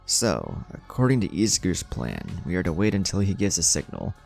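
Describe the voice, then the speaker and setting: Guy doing a cool sadistic villain lady, laid back, casual, low creaky voice. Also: very animated, moderate pace, a little mellow, faint music behind, heavily acted. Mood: businesslike.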